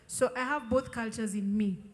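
A woman's voice: on the high side, fairly even intonation, moderate pace. A woman from Kenya with Ethiopian roots speaking candidly and informally to a congregation on a handheld microphone. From Ethiopian raised in Kenya. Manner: candid, conversational, emphatic. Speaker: church worship leader sharing testimony. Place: large open-sided tent gathering. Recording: reverberant hall PA sound.

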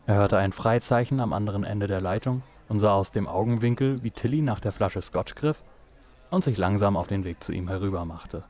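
A man's voice: lowish voice, a little fast, a little mellow. A man reading scripted narration for an audio drama. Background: music.

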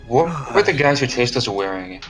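A man's voice: medium pitch, sing-song, moderate pace, a bit bright. A man playing a tabletop game, chatting casually in a playful, questioning way. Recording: music behind the voice. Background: background music.

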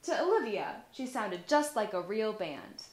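A woman's voice: mid-range voice, measured pace. A young woman reading a children's story aloud expressively in a living room, acting out the dialogue. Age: young adult. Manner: animated, acts out dialogue. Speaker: storybook reader, high school senior. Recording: roomy indoor echo.

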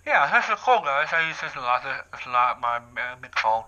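A young man vlogging from his living room, excitedly talking through a handheld bullhorn and often repeating and restarting his sentences. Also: mid-range voice, fairly quick, lo-fi sound. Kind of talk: casual vlog to camera. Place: living room at home, indoors. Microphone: camera on tripod, talks through a handheld bullhorn.

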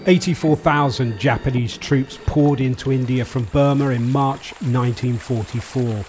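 A man's voice: mid-range voice, fairly even intonation, moderate pace, a little mellow, faint music behind. A man reading scripted documentary narration. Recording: faint background ambient noise.

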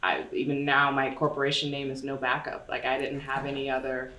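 A woman's voice: a bass voice, brisk, reverberant, distant mic, slight background noise, poor audio. A woman in her forties from Los Angeles, an actress chatting casually as an interview guest in a studio. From Los Angeles, USA. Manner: chatty, animated, jumps into playful voices. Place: dark studio room. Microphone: studio condenser mic on stand.

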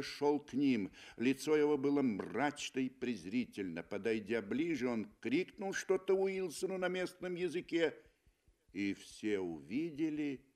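A man reading literary narration for a 1960s radio play, with expressive, emphatic phrasing. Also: mid-range voice. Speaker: audio drama narrator. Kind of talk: dramatic literary narration, 1960 Soviet radio. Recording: 1960 Soviet radio recording.

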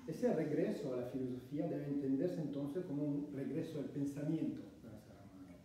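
A man's voice: mid-range voice, mellow, far from the mic, a little hiss or hum. Italian man lecturing in an echoey room. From Italy. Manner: measured, reading from notes. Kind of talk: academic lecture. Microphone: far camera.

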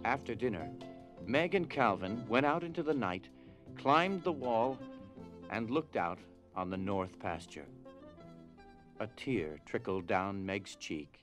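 A man narrating a scripted drama as storyteller, with grave, deliberate phrasing. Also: fairly low pitch, animated, a bit bright, faint music behind.

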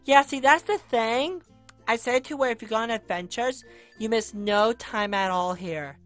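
A woman's voice: on the high side, normal speed, faint music behind, natural voice. A woman voicing a sassy, breezy friend in an animatronic game roleplay, familiar and nonchalant. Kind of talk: Minecraft roleplay video acting.

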